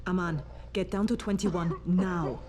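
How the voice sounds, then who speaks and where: Dark, low-voiced commanding woman played by an actress. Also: fairly even intonation, slight background noise, lightly acted. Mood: concerned, brisk. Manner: brisk, commanding. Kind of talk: video game cutscene dialogue.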